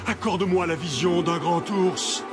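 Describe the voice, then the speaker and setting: A man's voice: high-pitched, measured pace. A man voicing a proud, scornful, hot-headed young hunter in a children's cartoon, dramatic and boastful. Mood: pleading. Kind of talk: animated children's series acting. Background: loud music.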